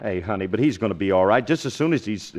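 An actor playing a good-natured husband tending a hurt pal, talking in a folksy, slangy way in 1940s radio comedy style. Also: male voice, lowish voice, expressive, fast, darkish tone, natural voice.

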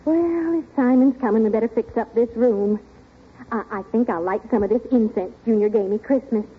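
A woman's voice: fairly high pitch, a little fast, husky. An actress playing a sensible American middle-aged housewife and mother in a 1940s radio sitcom, chiding and soothing by turns. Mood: brisk, motherly. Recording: noisy, tinny old radio recording.

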